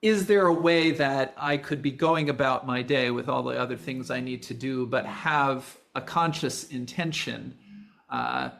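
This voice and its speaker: A man with a gravelly, fry-tinged voice talks through ideas as a podcast host. He gropes for phrasing as he goes and doubles back on his words. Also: medium pitch, steady tone, unhurried, a bit bright. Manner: searching, repeats words. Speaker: podcast host and course creator. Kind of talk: casual podcast conversation.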